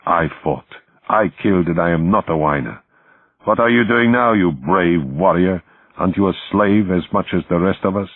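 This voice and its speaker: A man's voice: on the low side, animated, normal speed, pitched above the performer's own voice. A man voicing a bitter, weary camp survivor with a hollow, rhetorical delivery in an audiobook. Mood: angry. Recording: muffled, phone-like, roomy audio.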